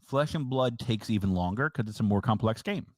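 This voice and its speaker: A man with a lower voice co-hosting a casual podcast, emphatic and opinionated, teasing as he goes. The sound is close and dry. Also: animated, brisk, no room sound. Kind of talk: casual chat about a card game.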